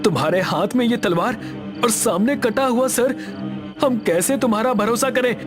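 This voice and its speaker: Man acting an alarmed, high-pitched young man. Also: normal speed, music in the background. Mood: shocked accusing. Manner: urgent, exclaiming. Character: alarmed, accusing young man pleading with his friends. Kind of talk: dramatized horror audio story.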